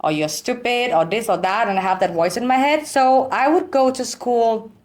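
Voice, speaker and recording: A woman's voice: fairly high pitch, normal speed, darkish tone. A woman from Thailand, a podcast guest speaking emphatic, encouraging, simple in a candid conversation. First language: Thai and German. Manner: emphatic, encouraging, in simple non-native English.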